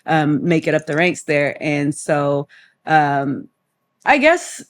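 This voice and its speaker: A woman's voice: low voice, very animated, slow pace. A young woman from Florida, a singer guest, talking in a chatty, rambling way and laughing often. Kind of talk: casual interview chat.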